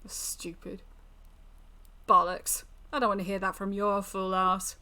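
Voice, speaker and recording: A woman voicing a menacing, mocking fiend in a storybook tale, using rough British slang. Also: mid-range voice, little pitch movement, a little slow, pushed and bright. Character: menacing, teasing fiend, chestnut-roasting storyteller.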